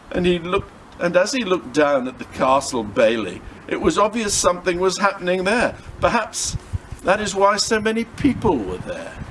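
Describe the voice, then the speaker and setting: A man reading an adventure story aloud in an expressive narrating style. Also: on the high side, a little hiss or hum, rough recording.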